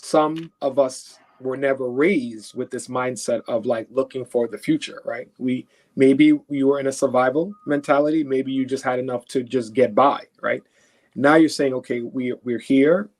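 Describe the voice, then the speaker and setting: A man's voice: mid-range voice, fairly even intonation, normal speed, darkish tone. Earnest man from Haiti, thinking aloud. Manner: earnest, repeats words while thinking, frequent "right?". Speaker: guest. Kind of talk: conversational interview answers.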